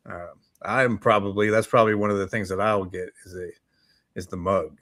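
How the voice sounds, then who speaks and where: A man with a fairly low voice co-hosts an easygoing morning chat show. His talk is informal and rambling, often halting as he feels for the next phrase. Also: steady tone, a bit bright.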